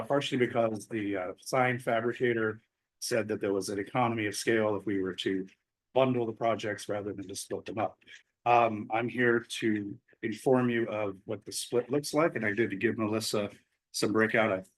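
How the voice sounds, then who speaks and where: A man's voice: fairly low pitch, low-quality recording. A man speaking as a community group chair during public comment at a city commission meeting, explaining a proposal with frequent fillers. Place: city council chamber.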